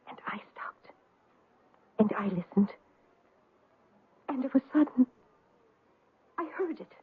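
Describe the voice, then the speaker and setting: A husky-voiced storyteller. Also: female voice, sits high, expressive, slow, narrow, tinny audio, exaggerated voice. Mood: wistful, hushed. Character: earnest Irish daughter recounting a wondrous family tale. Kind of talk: old-time radio drama storytelling.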